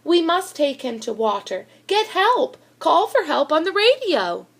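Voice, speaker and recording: A woman voicing an earnest, excitable young boy in a storybook, with an urgent, pleading manner. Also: very high voice, measured pace, pitched above the performer's own voice, full character voice.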